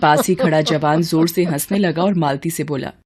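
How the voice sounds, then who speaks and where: A woman narrating a scripted story as a storyteller, in an expressive, dramatic manner. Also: deep voice, normal speed, husky.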